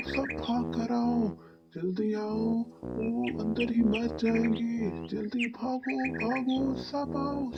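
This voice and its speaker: An actor voicing a brotherly animal friend in a children's moral cartoon. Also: mid-range voice. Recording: dull audio under loud music.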